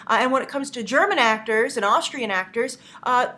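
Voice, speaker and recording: Young female movie news host, congested from a cold, tense bright tone, a little high, loose and chatty with frequent fillers. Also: animated, fairly quick. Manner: chatty, many "uh" fillers, opinionated. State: stuffy from a cold. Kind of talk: casual news commentary.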